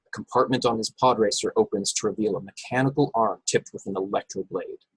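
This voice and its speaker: Guy with a huskyish lowish voice narrating a fan script on a video call, casual and unpolished, pretty dry sound. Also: steady tone, fairly quick, husky, dry recording, poor audio. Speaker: narrator reading action descriptions at a table read. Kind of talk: scripted narration in an amateur online table read.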